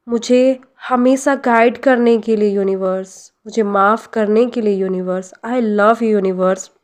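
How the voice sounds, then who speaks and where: Indian woman, meditation guide, very slow pace, gentle, deliberate pauses, repeated prayer phrases, medium pitch. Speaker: guided meditation leader, life coach.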